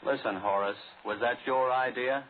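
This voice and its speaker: A man's voice: mid-range voice, steady tone, normal speed, lightly acted. An actor playing a nervy, irritable radio man in an old-time radio drama, wary and exclamatory. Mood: uneasy, annoyed. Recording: tinny, noisy old radio broadcast recording.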